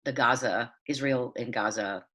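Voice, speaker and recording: A woman from Southern California, a playwright, musing and hedging in a relaxed chat on a video call. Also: extremely low pitch, normal speed. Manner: musing, hedging, lots of fillers and restarts. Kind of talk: casual conversation over video call.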